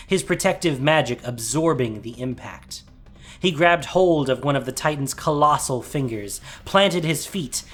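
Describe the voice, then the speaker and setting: A man giving a scripted, dramatic fantasy narration as storyteller, measured and suspenseful. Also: mid-range voice, fairly bright tone. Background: music.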